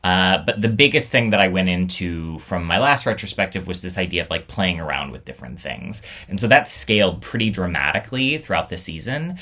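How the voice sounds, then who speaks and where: A man's voice: deep voice, expressive, brisk, hardly any pauses, bright, forceful tone. A man talking casually and reflectively, as the host looking back on his own storytelling show. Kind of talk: casual reflective vlog talk.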